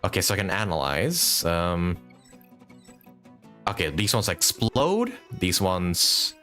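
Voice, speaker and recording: Guy streaming a game, deep-ish voice that swings around a lot, thinks out loud, music behind him. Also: big pitch swings, slow pace. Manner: thinks aloud, swears casually. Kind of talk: casual live gameplay commentary.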